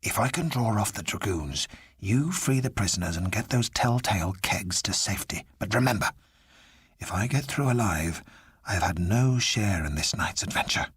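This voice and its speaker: A man voicing a stern vicar who turns into a commanding pirate captain, speaking slowly and firmly. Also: on the low side, animated, a bit bright, husky, no room sound, voice pushed down, full character voice. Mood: urgent.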